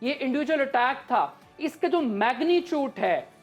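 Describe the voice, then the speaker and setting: A woman with a Pakistani accent speaks in a fairly high voice. She delivers live political commentary as an analyst, explaining each point with emphasis, with music underneath. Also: animated, normal speed. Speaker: analyst on a current affairs show.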